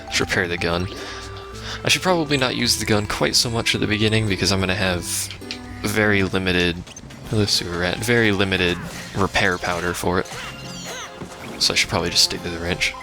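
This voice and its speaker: Low-pitched gravelly man commentating a game. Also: fairly quick. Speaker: let's play host. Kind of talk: casual live game commentary. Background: video game music.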